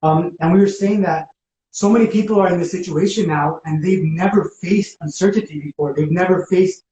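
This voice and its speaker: A man's voice: sits high, fairly quick, dark tone. A man talking casually and reflectively, in informal everyday language. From spent teenage years in Ecuador. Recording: roomy echo, faint background noise.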